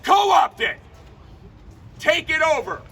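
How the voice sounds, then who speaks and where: A man delivers a fiery outdoor rally speech, punching his points and shouting slogans. His voice sits high and very bright. He is picked up from a distance, with faint crowd and traffic noise around him. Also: very animated, takes it slowly. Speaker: rally speaker. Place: outdoor rally by a state capitol.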